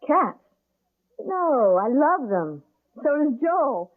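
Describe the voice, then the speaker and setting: An actress playing an eager, earnest, superstitious young wife in a radio drama. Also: female voice, sits high, big pitch swings, extremely slow, heavily acted. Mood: excited. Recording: tinny, band-limited old radio broadcast.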